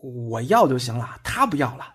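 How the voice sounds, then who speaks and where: A man's voice: medium pitch, big pitch swings, normal speed, a bit bright. A man acting a cheerful, doting young man in a Chinese audio drama, playful and boyish. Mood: affectionate teasing.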